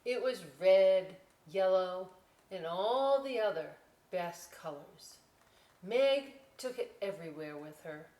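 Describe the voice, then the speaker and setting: A woman's voice: medium pitch, lively intonation, slow pace, dark tone. A woman hosting a children's story time, reading a picture book aloud and chatting through the pictures in a conversational way. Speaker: librarian story time host. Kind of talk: picture-book read-aloud with casual asides. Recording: roomy, distant mic with clear noise.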